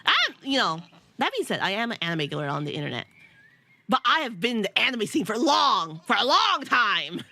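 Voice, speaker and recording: A woman's voice: on the high side, very animated, normal speed, sharp tone. A woman around thirty with a nasal voice, chatting casually and giggling as she streams a game. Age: about 30. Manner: giggly, rambling, self-teasing. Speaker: VTuber streamer. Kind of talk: casual live-stream chat while gaming.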